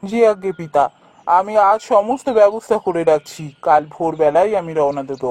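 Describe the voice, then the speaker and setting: A man's voice: sits high, normal speed, a little mellow. A man playing an earnest, polite young prince in a cartoon fairy tale. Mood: respectful, resolute. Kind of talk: animated fairy tale voice acting.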